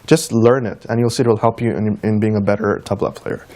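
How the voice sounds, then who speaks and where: A man's voice: lowish voice, steady tone, brisk, a little mellow. A man from the United States answers interview questions in an informal, anecdotal way, as a musician and teacher speaking conversationally. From United States, of Trinidadian and Guyanese descent. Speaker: interview guest, tabla player and teacher.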